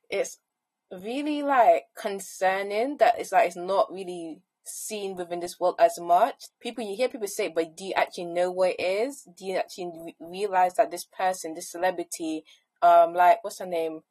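A woman's voice: lowish voice, moderate pace, a little mellow. A young woman joining a casual podcast discussion, speaking hesitantly with lots of fillers. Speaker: podcast co-host.

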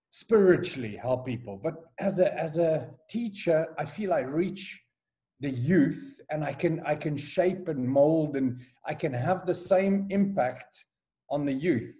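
A man's voice: on the high side, dark tone. A South African man in his forties, a school headmaster, talking through his past in a casual podcast interview, often restarting his sentences. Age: 40s. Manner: hesitant, many restarts and repeated words. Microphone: call recording.

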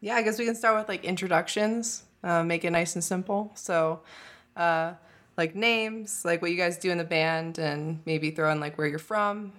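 A woman's voice: fairly low pitch, normal speed, fairly bright tone. A young woman hosting a podcast interview, bubbly and giggly, with hesitant phrasing. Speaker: podcast host.

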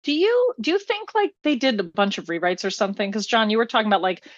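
A woman's voice: a little high, lively intonation, fast talker, no room sound, muffled recording. A woman in her thirties bantering casually on a film podcast, sarcastic, joking and sweary. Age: 30s.

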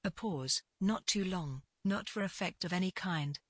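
A woman narrates a piece of literary fiction with measured, deliberate phrasing. Her voice is slightly low and noticeably husky. The recording is dry, with almost no room sound. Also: dry recording.

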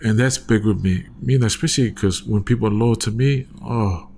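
Laid-back man in his forties, lowish voice. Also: a little fast, dark tone, slight background noise. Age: 40s. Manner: rambling, laid-back, often asks "you know what I mean?". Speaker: guest sharing his church story. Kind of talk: casual conversational testimony.

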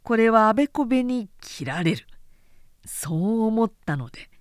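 A woman narrating a literary period story as an audiobook, in a measured, dramatic storytelling manner. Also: fairly high pitch, sing-song, no echo, studio quality. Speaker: narrator, announcer.

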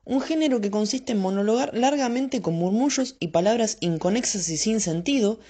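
Casting: Argentine female narrator, mellow, scripted and measured. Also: medium pitch, lively intonation. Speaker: podcast host and narrator.

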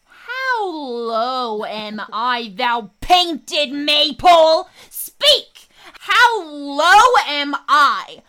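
A woman's voice: high-pitched, bright, forceful tone, heavily acted. A woman acting a short, fiery, jealous young lover, indignant and declamatory, in a Shakespeare radio play.

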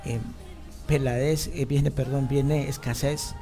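Emphatic Colombian pastor preaching. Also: male voice, medium pitch, fairly even intonation, slow, loud music behind the voice. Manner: emphatic, asks the congregation questions. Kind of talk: bilingual church sermon, preached in Spanish. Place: church.

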